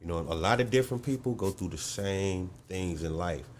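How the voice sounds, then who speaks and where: A man hosting a casual interview, relaxed and easygoing, backing up his guest with "exactly" and "okay". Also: deep voice, moderate pace, a little hiss or hum. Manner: laid-back, echoes answers with "exactly" and "okay". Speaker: interview host.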